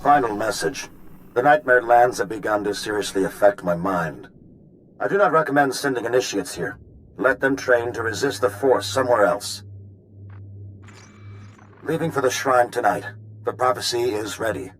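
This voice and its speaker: An actor playing a resigned but resolute operative in a video game, dictating a log in a clipped, report-like way. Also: male voice, medium pitch, lively intonation, moderate pace, pauses often, a little hiss or hum, lightly acted, low-quality recording. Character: resigned, resolute male operative recording his final message. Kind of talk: dramatic video game acting.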